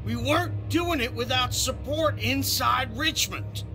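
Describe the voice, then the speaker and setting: An actor playing a frightened, cowardly turncoat who pleads for himself in a dramatic video game. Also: male voice, extremely high pitch, a little slow, music in the background. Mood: nervous confession.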